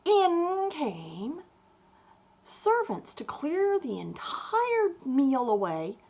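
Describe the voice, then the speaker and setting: Animated woman storyteller, unhurried pace. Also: high voice. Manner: expressive, deliberate, explaining to young listeners. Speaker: children's storyteller. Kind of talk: bedtime storytelling for children.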